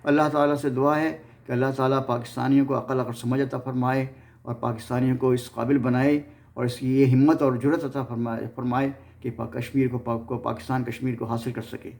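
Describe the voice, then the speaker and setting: A man's voice: mid-range voice, level tone, a little fast, darkish tone. A man with a Pakistani accent hosting an informal history narration, often stumbling and repeating his words as he goes. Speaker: host of a history series.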